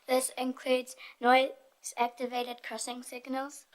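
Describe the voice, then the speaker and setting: Young woman, high voice, very flat intonation, youth presenter, scripted delivery. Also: slow. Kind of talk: prepared group presentation, read aloud.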